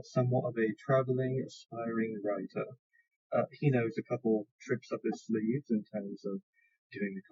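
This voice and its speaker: A man's voice: mid-range voice, mellow, slightly rough, lo-fi sound. A man playing a tabletop game, casually and hesitantly describing things.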